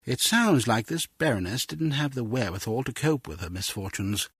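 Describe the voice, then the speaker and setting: An earnest male mentor from a spy game, speaks with a husky grain and lively, swelling pitch. His manner is oratorical and moralizing. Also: moderate pace, no echo, clean studio sound. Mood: impassioned. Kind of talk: scripted spy game dialogue.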